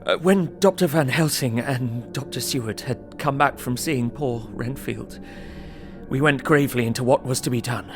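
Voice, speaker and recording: Grave young husband, played by a male actor, measured diary narration for audio drama, troubled tone, music underneath. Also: mid-range voice, natural voice. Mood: sombre. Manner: sombre, measured.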